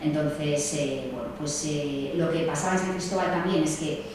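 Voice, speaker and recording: Low-voiced woman in an echoey hall. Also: moderate pace, noisy background. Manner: hesitant, many fillers and tag questions. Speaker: sociologist speaking at a seminar. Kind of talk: seminar talk. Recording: echoey distant room mic, faint ambience.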